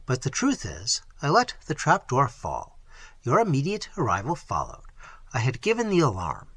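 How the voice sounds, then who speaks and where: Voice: mid-range voice, wildly swooping pitch, fairly bright tone, breathy edge, dry recording, heavily acted. Narrator as a bold, frank young peasant. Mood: composed, defiant. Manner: frank, courteous, measured archaic speech. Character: bold, composed young peasant man answering his lord.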